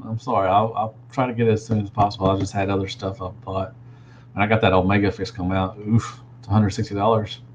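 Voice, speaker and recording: A man's voice: on the low side, dull audio, a little hiss or hum. A man in his thirties with a Southern drawl, chatting casually on a livestream from a room at home on a headset, rambling and saying "man" a lot. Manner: relaxed, rambling, drawn-out fillers, says "man" a lot. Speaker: toy reviewer answering live chat. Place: small room at home, indoors. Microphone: gaming headset.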